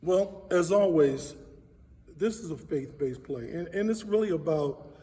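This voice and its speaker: High-pitched man in an echoey hall. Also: measured pace. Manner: earnest, animated storytelling with acted-out quotes. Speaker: stage play director. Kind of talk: personal anecdote in an interview. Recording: echoey hall, distant microphone.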